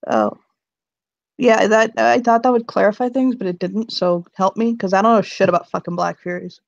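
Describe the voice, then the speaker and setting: A woman's voice: mid-range voice, moderate pace, creaky, dry recording, dull audio. Giggly, profane woman playing a tabletop game. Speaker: player narrating her character. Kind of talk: casual tabletop roleplay.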